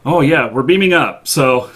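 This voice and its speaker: A man hosting a live show, chatting casually about games in a halting way with pauses mid-thought. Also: fairly high pitch, a bit bright.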